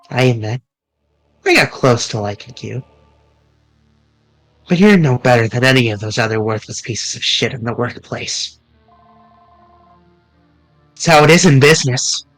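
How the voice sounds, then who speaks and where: A man's voice: medium pitch, a bit bright, dry recording, low-quality recording. A man voicing a cold, two-faced manager villain with a sneering, contemptuous manner, reading a visual novel aloud.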